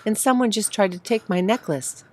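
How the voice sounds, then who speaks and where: A woman's voice: medium pitch, moderate pace, darkish tone, pitched above the performer's own voice, close to an everyday voice. A woman reading a storybook, voicing a frightened, lost immigrant mother who is anxious, pleading and trying to soothe. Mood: frantic pleading. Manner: pleading, breathy urgency when distressed.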